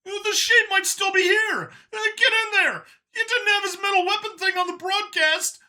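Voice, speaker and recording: A man voicing an aggrieved, shouting alien henchman in an audio story. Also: extremely high pitch, normal speed, pitched above the performer's own voice, exaggerated voice. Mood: alarmed, urgent. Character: furious shrieking alien henchman guard.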